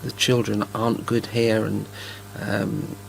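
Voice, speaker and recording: A middle-aged man from Norwich co-hosting a local radio chat, talking in a halting way and often repeating words as he starts a thought. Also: fairly low pitch, flat delivery, vocal fry, slight background noise, low-quality recording. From Norwich, Norfolk, England. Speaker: radio co-host.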